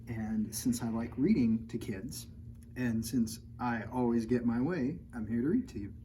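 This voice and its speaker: Mellow guy doing kids storytime, jokey and informal, talks right to the kids, bit of background noise. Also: mid-range voice, measured pace, poor audio. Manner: joking, informal, talks directly to young children. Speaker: children's author reading at a library storytime. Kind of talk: read-aloud picture book with playful chatty asides.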